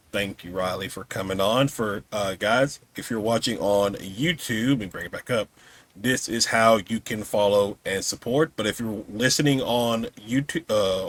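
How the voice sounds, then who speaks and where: A man's voice: on the low side, fairly bright tone. A man hosting a podcast in a casual, laid-back, informal way. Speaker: podcast host. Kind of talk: casual chat.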